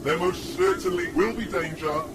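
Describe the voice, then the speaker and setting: An actor playing a weary, solemn man cursed to be a dishwasher, confessing haltingly in an audio drama. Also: high-pitched, very noisy, lightly acted. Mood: solemn, resigned.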